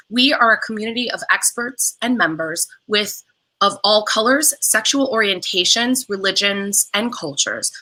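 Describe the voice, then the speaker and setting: Very bright, upbeat woman hosting. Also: mid-range voice, poor audio. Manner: upbeat and welcoming, with scripted-sounding introductions. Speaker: talk show host. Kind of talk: online talk show hosting.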